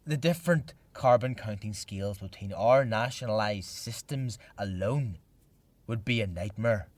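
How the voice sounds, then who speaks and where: A man's voice: on the low side, unhurried, a little mellow, no echo, lightly acted. A man acting a wary, doubtful parliament delegate in a radio play, formal and bureaucratic. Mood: sceptical.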